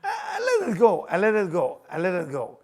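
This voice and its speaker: A middle-aged man with an Israeli accent, hosting a casual chat and telling personal stories in a playful, animated way, often laughing as he talks. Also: high-pitched, a little fast. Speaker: spiritual teacher and podcast host.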